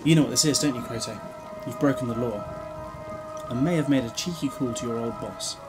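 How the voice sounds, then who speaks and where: A man's voice: medium pitch, fairly quick, music in the background, natural voice. A British man playing a glib, protective time-travelling hero in an audio drama, wry and chatty with a scolding streak. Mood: stern. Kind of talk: fan audio drama acting.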